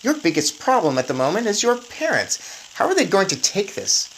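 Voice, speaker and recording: A woman's voice: mid-range voice, very animated, a little fast, voice pushed up, close to an everyday voice, low-quality recording. A woman plays a practical young mouse boy from a storybook, earnest and persuasive. The lines rise and fall animatedly as he explains things, over faint room noise.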